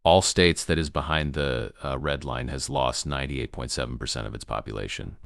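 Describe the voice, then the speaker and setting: A man playing a grave emergency news broadcaster in an amateur apocalypse broadcast, gravelly, solemn and halting in his delivery. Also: very deep voice, a bit bright, no echo, clean studio sound, exaggerated voice. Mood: somber. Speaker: news anchor.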